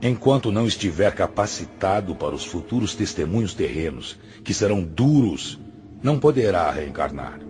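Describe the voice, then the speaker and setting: A man's voice: sits low, big pitch swings, moderate pace, darkish tone. A man acting in a radio drama as a stern, patient spiritual master, speaking in a solemn, admonishing and didactic way. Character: stern, patient male spiritual master, grave moral counselor. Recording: music under the voice.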